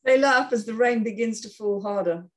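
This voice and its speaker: A woman's voice: a little high, normal speed. A woman narrating and hosting a script reading, reading out screenplay stage directions. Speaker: narrator and panel host.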